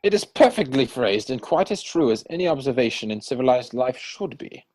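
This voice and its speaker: A man plays an idle, witty young gentleman in a Victorian comedy. His delivery is arch and mannered, swooping up and down around an average male pitch. He sounds teasing and flippant throughout. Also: big pitch swings, brisk, low-quality recording. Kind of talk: stage comedy dramatic reading. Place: home, recorded over video call.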